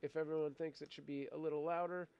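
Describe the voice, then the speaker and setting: Middle-aged man, live-stream host, fighting a cold, rambling, smooth voice, dry close sound. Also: fairly high pitch, level tone, quick pace, a little mellow, dry recording, dull audio. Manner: rambling, many fillers and restarts, laughs often. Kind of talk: casual live-stream chat, reading viewer comments.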